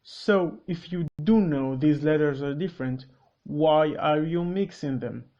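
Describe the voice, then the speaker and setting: A man's voice: a little high, unhurried, mellow, highs cut off. A man whose first language is Hebrew, hosting a commentary video with curt, corrective, matter-of-fact scripted narration. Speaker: commentary host.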